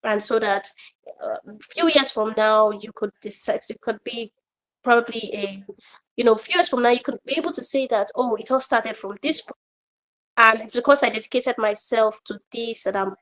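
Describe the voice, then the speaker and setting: A woman trainer hosting an online feedback session, talking casually with many fillers, her voice a bit croaky today. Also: on the high side, moderate pace, poor audio. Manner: warm, rambling, with fillers and laughs. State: slightly croaky voice. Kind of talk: casual online class talk. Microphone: online video call.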